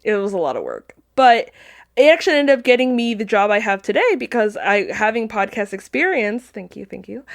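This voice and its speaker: A talkative woman chatting casually as a podcast guest, with a playful, self-deprecating way of talking. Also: medium pitch. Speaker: podcast guest, pop culture commentator. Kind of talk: casual chat.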